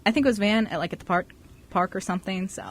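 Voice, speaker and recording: A woman's voice: mid-range voice, fast, slight background noise. A woman co-hosting a radio show in casual, unscripted chat.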